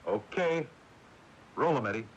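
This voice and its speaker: An actor playing a harried, bossy film director in a 1980s radio drama. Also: male voice, medium pitch, lively intonation, muffled recording, natural voice. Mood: brisk. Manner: brisk, bossy, exasperated. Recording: noisy 1980s radio broadcast taping.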